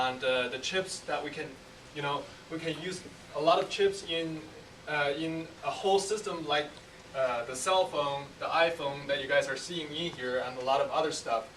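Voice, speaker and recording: A young man, an engineer speaking hesitantly to students with frequent pauses. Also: fairly high pitch, bright, forceful tone. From China. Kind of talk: casual classroom talk. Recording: roomy distant mic with faint noise.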